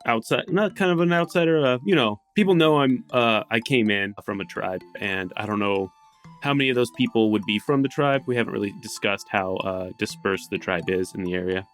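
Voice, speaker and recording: A man's voice: lowish voice, fairly quick, fairly bright tone, soft background music. A man playing a tabletop roleplaying game, chatting casually as he works out what his character does. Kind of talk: casual chat.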